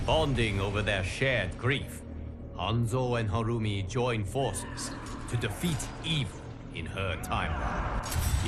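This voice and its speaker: A man playing a solemn godlike guardian of timelines, narrating video game cutscenes in a formal, measured, dramatic way. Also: on the low side. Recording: loud cinematic music behind. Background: loud music.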